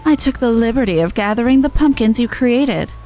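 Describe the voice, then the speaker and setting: A woman's voice: fairly high pitch, lively intonation, fairly quick, mellow, faint music behind, lightly acted. A woman voicing a proud, theatrical male clown illusionist in a video game, speaking with pompous courtesy. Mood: smug, satisfied. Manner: pompous, formal, showmanlike.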